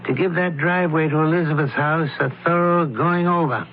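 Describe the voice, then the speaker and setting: Casting a man as probing criminologist sleuth, lighter-pitched male voice, authoritative, thin noisy radio-play recording. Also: steady tone, a little fast, close to an everyday voice. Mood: intent, methodical. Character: shrewd, methodical male criminologist detective. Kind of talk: 1970s radio drama acting. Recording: noisy, narrowband old radio broadcast.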